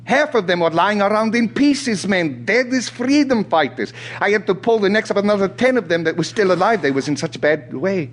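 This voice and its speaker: Lively South African ex-soldier. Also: male voice, high-pitched, a little fast, few pauses. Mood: downcast, rambling. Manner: chatty, colloquial, punctuated by sighs and short laughs. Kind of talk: audio theatre acting.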